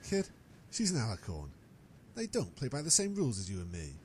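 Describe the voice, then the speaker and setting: A man's voice: mid-range voice, huge pitch swings, normal speed, a little hiss or hum. A man playing a cocky, gossiping unicorn newspaper reporter with a knowing manner in an amateur audio drama.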